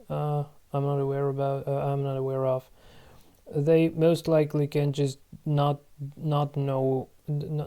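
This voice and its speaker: A man in his thirties giving casual commentary while playing a board game online, musing aloud to himself in a halting way. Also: a little high, warm tone, clean tone. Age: 30s. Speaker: channel host playing online board games.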